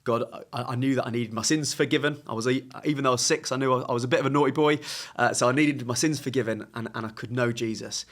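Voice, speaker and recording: A man in his thirties from England, a podcast guest telling his life story in a lively, self-mocking way. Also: mid-range voice, fast. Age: 30s. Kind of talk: casual interview.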